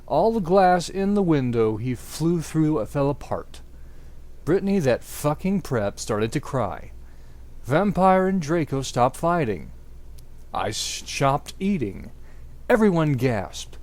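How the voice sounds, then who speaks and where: A man narrating a story as a dramatic reading, theatrical and deliberate. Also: medium pitch, a little mellow. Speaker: amateur narrator. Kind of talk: dramatic reading of comic fan fiction.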